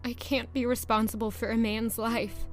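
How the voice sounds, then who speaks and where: An actress playing an earnest, nervous young palace maid who narrates and speaks in a first-person audio drama. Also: female voice, a little high, level tone, moderate pace, soft background music, close to an everyday voice. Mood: anxious, torn.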